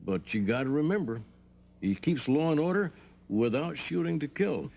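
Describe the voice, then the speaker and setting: A man speaking in an old TV program with a bright, theatrical delivery. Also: on the high side, animated, normal speed, gravelly. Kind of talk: vintage TV program audio. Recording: dull lo-fi archive TV audio.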